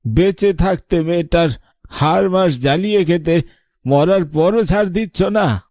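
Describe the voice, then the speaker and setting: A middle-aged husband in an animated drama, played by a man. His voice sits a little high and very dry, with a weary, scolding, moralising delivery. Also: unhurried, dry recording. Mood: reproachful, fed up. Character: reproachful older husband, grieving father-in-law. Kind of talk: animated horror story drama dialogue.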